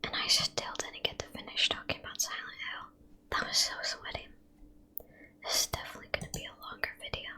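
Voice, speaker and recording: A young woman whispering a rambling, chatty commentary while playing a video game, quick to get frustrated with opponents. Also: bright, forceful tone. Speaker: gaming video creator.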